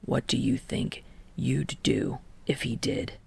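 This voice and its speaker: A woman voicing a blunt teenage boy athlete in a low, breathy murmur with a flat, grumbling, halting manner for a story reading. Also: heavily acted. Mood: flat, serious.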